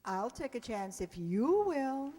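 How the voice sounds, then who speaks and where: A woman's voice: fairly high pitch, sing-song, slow pace, warm tone, exaggerated voice. A woman acting in a radio sitcom as a wisecracking schoolteacher, with a dry, sardonic delivery. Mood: wry. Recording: echoey hall, long reverb.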